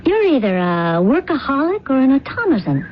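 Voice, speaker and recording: A woman's voice: sits high, big pitch swings, normal speed. An actress playing a blunt, emotional, free-spirited young woman in love, in a radio drama. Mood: teasing, indignant. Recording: muffled radio drama recording, noisy background.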